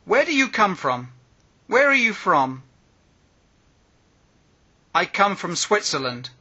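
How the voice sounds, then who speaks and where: A man slowly reading short phrasebook sentences one by one, with pauses between phrases, as a language lesson. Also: high-pitched, sing-song, pushed and bright, breathy edge, highs cut off. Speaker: language teacher.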